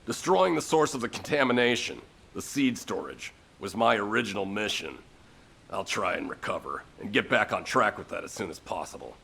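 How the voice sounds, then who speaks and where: Very gravelly, gruff squad leader. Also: male voice, lowish voice, big pitch swings, normal speed, sharp tone, full character voice. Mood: determined. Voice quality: gravelly, raspy. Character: gruff, blunt, battle-worn male squad leader. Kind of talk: video game cutscene acting.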